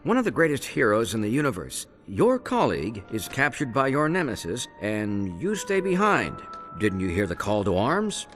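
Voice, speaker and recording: An actor playing a polite but pointed, sceptical interviewer in a video game cutscene. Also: male voice, mid-range voice, big pitch swings, normal speed, a bit bright, faint music behind. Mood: probing.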